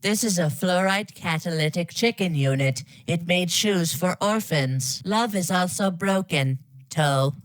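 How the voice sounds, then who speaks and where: Low, dry synthetic woman reading stiffly. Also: unhurried, few pauses, no room sound. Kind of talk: text-to-speech reading of garbled game dialogue.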